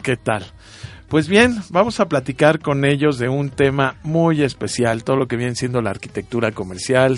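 Chatty Mexican man hosting a radio show. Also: mid-range voice. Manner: chatty, informal, addresses listeners as friends. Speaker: radio host. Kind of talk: live radio show talk to listeners.

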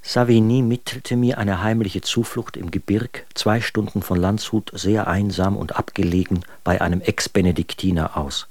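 A man plays an exasperated writer reading out his letters in a radio drama. His voice sits fairly low in a very dry studio sound, and he recounts his troubles with articulate, sardonic scorn. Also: fairly even intonation, moderate pace, hardly any pauses, no room sound, natural voice. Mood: wry, harried.

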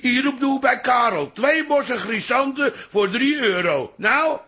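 An actor playing a cheeky, stingy old father with a very raspy voice in a Dutch radio comedy. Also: very high voice, lively intonation, unhurried, pushed and bright, full character voice. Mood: teasing, astonished.

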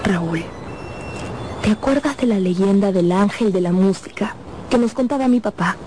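An actress playing a tender, dreamy young opera singer in a radio drama, earnest and wistful. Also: female voice, mid-range voice, moderate pace, background noise, close to an everyday voice.

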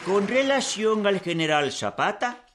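Slow, oratorical revolutionary delegate. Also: male voice, high-pitched, big pitch swings, over a noisy crowd, heavy background noise. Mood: formal, declaring. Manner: oratorical, emphatic, deliberate. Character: solemn male convention delegate proclaiming formal revolutionary resolutions. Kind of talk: formal declamation in a historical radio drama.

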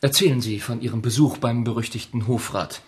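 An actor in a radio play playing a formal, hapless English secretary, dry and deliberate, dropping English words into his speech. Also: mid-range voice, husky.

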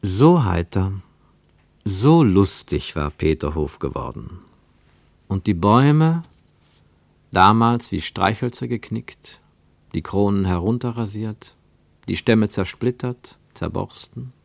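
A man narrating a literary radio play as a scripted storyteller, measured and expressive, with deliberate pauses. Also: low voice, creaky, no echo.

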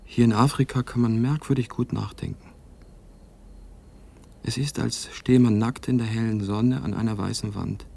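Husky, gravelly, brooding male writer. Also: medium pitch, fairly even intonation, normal speed, mellow. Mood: wistful, reflective. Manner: intimate, reflective. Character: lonely, brooding male American writer voicing his letter. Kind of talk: radio-play letter monologue.

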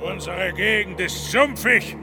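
A high, gravelly male voice plays a sardonic conquered warrior in a radio drama. He declaims slowly and bitterly, with loud music all around. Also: heavily acted. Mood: bitter, wry. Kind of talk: German radio play acting, declaimed monologue.

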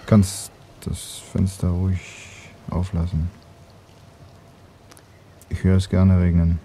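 An actor playing a dry, weary young husband in a radio play, talking in a laconic, understated way. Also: male voice, low-pitched, monotone, takes it slowly, mellow, slight background noise. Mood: casual, dry. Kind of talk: German radio play dialogue.

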